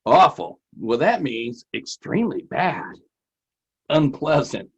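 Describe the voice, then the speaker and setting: A man's voice: medium pitch, big pitch swings, slow pace, breathy edge, muffled recording. A man presenting a lesson as a teacher-host, chatty, folksy and playful, often repeating himself. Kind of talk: casual, chatty educational presenting.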